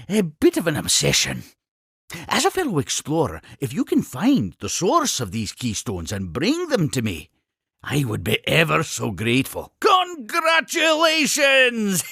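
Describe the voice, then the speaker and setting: Wildly animated Scottish cartoon inventor. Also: male voice, fairly high pitch, unhurried, creaky, no room sound, clean studio sound, full character voice. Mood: scheming, coaxing, then delighted. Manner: grandiose, effusive, old-fashioned phrasing. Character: exuberant, eccentric Scottish explorer-inventor creature, proud and cunning. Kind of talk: theatrical cartoon game character dialogue.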